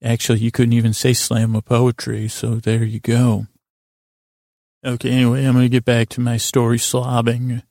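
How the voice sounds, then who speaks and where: A man hosting a bedtime podcast in a rambling, improvised monologue, stumbling over and restarting his words in a gravelly voice. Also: mid-range voice, monotone, normal speed, darkish tone, dry recording, studio quality. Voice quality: gravelly, creaky voice. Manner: meandering, stumbling and stuttering, trailing off mid-word. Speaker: podcast host.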